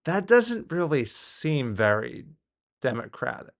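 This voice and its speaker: An American man giving off-the-cuff political commentary in a halting, repetitive, exasperated way. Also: mid-range voice, sing-song, creaky, very noisy.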